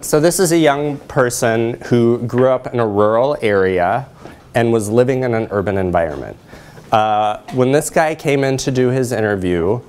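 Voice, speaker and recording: A man in his thirties giving a research presentation in a lecture hall, explaining in a measured way. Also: fairly low pitch, darkish tone.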